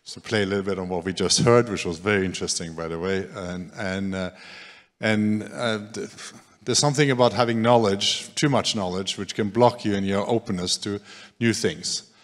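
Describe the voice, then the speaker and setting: A man in his sixties giving a loud, casual, self-deprecating lecture into a handheld mic in a large hall. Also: on the low side, moderate pace. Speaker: speaker. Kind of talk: public lecture. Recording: long reverb of a large hall.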